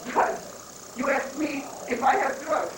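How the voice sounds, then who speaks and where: Man playing a high-pitched, rambling foreign guru. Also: little pitch movement, measured pace. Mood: teasing, excitable. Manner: rambling, wordy, mock-pious with foreign phrasing. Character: flamboyant wandering foreign guru, rock-obsessed, evasive and cheerful. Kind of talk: comic dramatic stage reading. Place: echoey room, mic at a distance. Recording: noisy 1980s live recording, echoey, distant mic.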